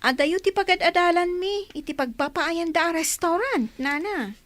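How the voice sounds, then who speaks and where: Cheeky schoolgirl daughter, radio drama, very high voice, bouncy intonation, youthful. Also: lively intonation, normal speed, a bit bright, no room sound. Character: cheeky, giggly young student daughter.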